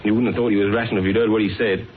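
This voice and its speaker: An actor in a radio drama playing a flippant, slangy, sceptical sidekick to a detective. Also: male voice, on the low side, flat delivery, rapid-fire, close to an everyday voice. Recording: tinny band-limited radio recording with hiss.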